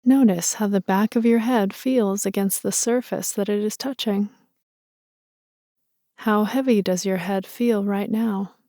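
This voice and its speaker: A woman leading a guided meditation in a slow, soothing and gentle way. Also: medium pitch, fairly even intonation, no echo, studio quality.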